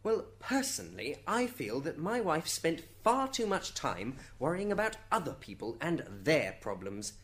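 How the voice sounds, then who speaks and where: A man's voice: a little high, big pitch swings, a little slow, few pauses, fairly bright tone. A man playing an aggrieved dead husband giving witness testimony in an amateur radio drama. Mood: resentful.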